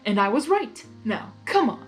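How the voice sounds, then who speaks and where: A woman acts out a playful male chaos creature from a cartoon fan story, loud and theatrical. The pitch is high and swings widely, with faint music behind. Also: sing-song, moderate pace. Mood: eager. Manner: theatrical, teasing, emphatic.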